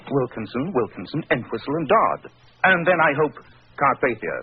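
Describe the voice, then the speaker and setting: An actor playing a keen, commanding English gentleman detective, precise and urbane, in theatrical 1940s radio style. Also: medium pitch, sing-song, moderate pace, breathy edge, narrow, tinny audio. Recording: thin, hissy 1940s radio recording.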